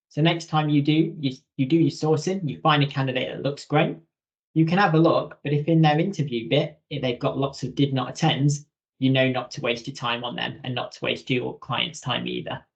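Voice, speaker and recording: A man presenting practical software tips in a conversational webinar style. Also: medium pitch, moderate pace, vocal fry. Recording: dull lo-fi webinar audio.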